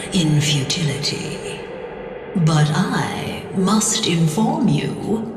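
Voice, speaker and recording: Slow, echoing, haughty queen of the dead. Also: fairly low pitch. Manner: slow, haughty, formal menace. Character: cold, imperious Queen of the Netherworld, judge of dead souls. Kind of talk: scripted fantasy video game acting. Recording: echoing, distant voice under loud music.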